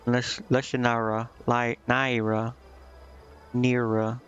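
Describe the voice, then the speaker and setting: A man casually commentating a game live and reading its text aloud, thinking out loud in a rambling way and stumbling over unfamiliar words. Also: medium pitch, level tone, slow, dull audio, soft background music. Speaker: game streamer.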